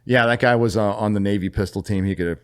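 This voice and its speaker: A middle-aged man from California telling a story as a podcast guest, animated and profane, laughing as he goes. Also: on the low side, quick pace, smooth. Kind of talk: casual storytelling.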